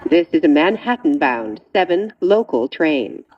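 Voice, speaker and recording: Slow, swooping female train announcer. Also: deep voice, very animated, dull audio. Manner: measured, clearly segmented station announcing. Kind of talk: scripted transit announcement.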